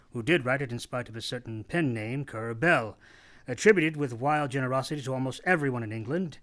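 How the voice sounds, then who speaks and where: A man's voice: mid-range voice, fairly bright tone, close to an everyday voice. A man narrating a radio play in a wry, literary, theatrical storytelling manner.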